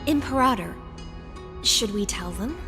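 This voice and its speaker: An actress playing a calm, formal female knight in a game. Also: medium pitch, measured pace, lightly acted. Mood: composed. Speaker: game character. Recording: game cutscene with music underneath.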